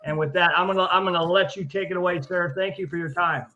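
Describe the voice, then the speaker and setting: A man's voice: high voice, steady tone, fast talker, pushed and bright, dull audio. A man hosting a live online show who introduces his guest hesitantly, with many restarts. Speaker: show host.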